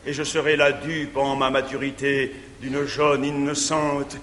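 A man's voice: medium pitch, level tone, measured pace, fairly bright tone, a little hiss or hum, close to an everyday voice. An actor playing a jealous, bitter middle-aged man, declaiming verse on stage in an old theatre recording. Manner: brooding, declamatory. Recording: echoey 1959 theatre recording.

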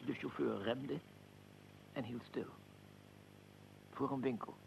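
An actor playing a weary, aristocratic Austrian archduke in a radio drama, speaking formally and with measured dignity in a gravelly voice. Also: male voice, mid-range voice, fairly even intonation, a little hiss or hum, low-quality recording. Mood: grave recounting. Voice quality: gravelly, creaky. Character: Austrian archduke, aristocratic, weary, recounting his own assassination.

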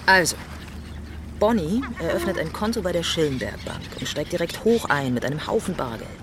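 A woman's voice: on the low side, big pitch swings, brisk, background noise. An actress playing a bossy, clever woman who masterminds a robbery crew, commanding and impatient in naturalistic radio drama dialogue. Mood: briskly planning.

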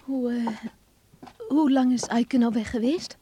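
A proud young woman in a radio play. Her voice is high, and she speaks with a firm, reserved, reproachful manner. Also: takes it slowly, dark tone, slight background noise. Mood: polite, guarded. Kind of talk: radio drama acting.

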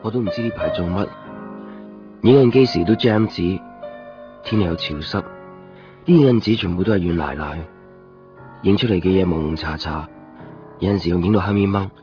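A man's voice: low voice, normal speed, pauses often, close to an everyday voice. A man playing a weary, lonely shopkeeper who narrates a radio drama in a colloquial, brooding way, with a Hong Kong Cantonese accent. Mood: glum, reflective. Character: weary, lonely male copy-shop keeper. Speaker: lead actor and first-person narrator. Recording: dull lo-fi radio recording, music behind.

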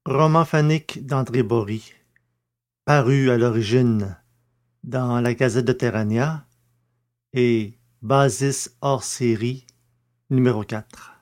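Brief: male podcast host, steady measured audiobook narrator, mid pitch.

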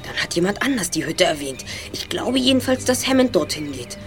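A woman's voice: lowish voice, big pitch swings, brisk, music in the background. A woman playing a clever, commanding boy detective in an audio drama. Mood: puzzled, thoughtful.

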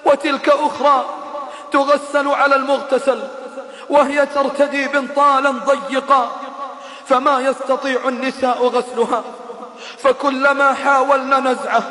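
A man delivering an impassioned sermon in a very high, bright voice that stays oddly level in pitch. He laments and admonishes through repeated rhetorical questions. His voice booms back with a long, roomy hall reverb. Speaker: preacher.

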